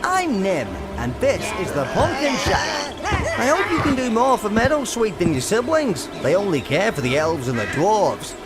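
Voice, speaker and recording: An actor playing a lovelorn, timid village bard in a comic video game, hesitant, earnest and melodramatic, with music behind. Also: male voice, high voice, huge pitch swings, normal speed. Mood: wistful, hopeful.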